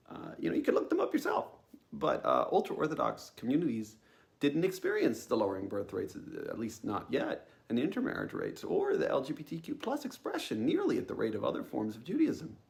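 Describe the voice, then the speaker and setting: A young man telling a personal story informally, in a halting, hesitant way with frequent ums and a wry, self-aware tone. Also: fairly high pitch, vocal fry, a little mellow.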